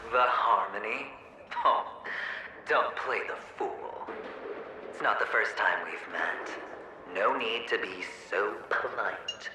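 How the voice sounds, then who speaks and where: A man's voice: fairly low pitch, slow pace, echoey room, distant mic, background noise. An actor playing a sly, mocking male inner voice in a game cutscene, taunting and playful. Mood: teasing. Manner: taunting, playful, sing-song.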